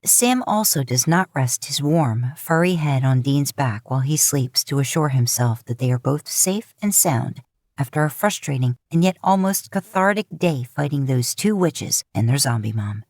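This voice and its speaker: A woman's voice: rumbling low, expressive, moderate pace, darkish tone, no echo. A woman reading a piece of fiction aloud as a podfic narrator, in scripted storytelling style.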